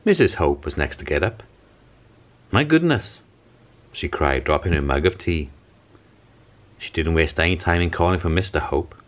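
A man reading a picture book aloud in an animated, dramatic storytelling manner. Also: extremely low pitch, normal speed. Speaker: storybook reader. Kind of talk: expressive picture-book narration.

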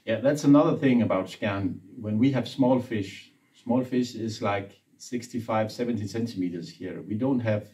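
A man's voice: on the low side, warm tone, distant mic. A man in his forties whose first language is Danish, hosting and prompting a guide through trip details, recorded indoors. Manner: leads the conversation, brisk prompts. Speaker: interviewer, trip organizer. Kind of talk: casual interview. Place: indoor room with brick wall.

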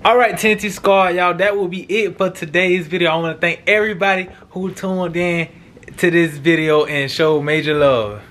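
Male vlogger, twenties, high register, animated casual banter, noisy on-location sound. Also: normal speed. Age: 20s. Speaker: vlog host, prankster. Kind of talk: casual couple vlog banter. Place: car interior, later a bedroom at home. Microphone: action camera in car, later handheld camera at arm's length. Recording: car and background noise.

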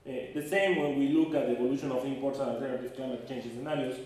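A man's voice: mid-range voice, steady tone, fast talker, a little mellow. A man giving an academic conference presentation, hesitant and repeating words. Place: large conference hall. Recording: echoey hall from far off.

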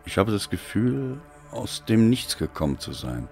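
A man reading a dark story as a dramatic narrator, in a brooding, deliberate and theatrical manner. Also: lowish voice, big pitch swings, gravelly, faint music behind. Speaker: audiobook narrator.

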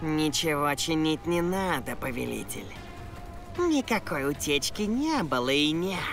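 An actor playing a treacherous alien henchman with a gravelly, theatrical delivery in a cartoon. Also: a little high, big pitch swings, a little slow, full character voice. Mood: gloating. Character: scheming servile alien henchman, male, treacherous. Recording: loud music behind.

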